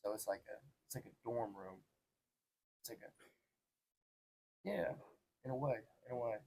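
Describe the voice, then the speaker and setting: A man's voice: mid-range voice, lively intonation, normal speed, gravelly, dark tone, slight background noise. A man in his twenties telling personal stories in casual, slangy talk, earnest and repeating phrases for emphasis. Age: 20s. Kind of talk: casual conversational storytelling.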